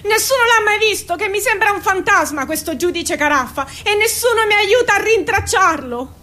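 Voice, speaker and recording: Harried working-class mother, played by a woman: high voice, aggrieved, repetitive colloquial lament, theatre monologue. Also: animated, normal speed, pushed and bright, full character voice. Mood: exasperated, pleading. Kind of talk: stage monologue.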